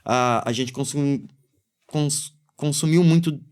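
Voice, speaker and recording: A man's voice: mid-range voice, slow pace, clean tone. A man in his thirties with a Brazilian accent, a podcast guest chatting casually about his music, rambling with lots of fillers. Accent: Brazilian Portuguese, São Paulo countryside. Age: 30s. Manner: relaxed, rambling, many fillers. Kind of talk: casual chat.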